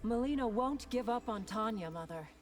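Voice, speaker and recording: An actress playing a composed, dutiful young warrior princess in a video game, earnest and poised. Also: female voice, a little high, lively intonation, moderate pace, faint music behind, natural voice. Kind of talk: video game character dialogue.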